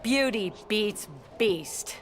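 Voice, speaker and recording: A woman's voice: mid-range voice, lively intonation, extremely slow. An actress playing a tough, wisecracking female superhero, brash and sarcastic. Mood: sardonic. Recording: game cutscene with loud music.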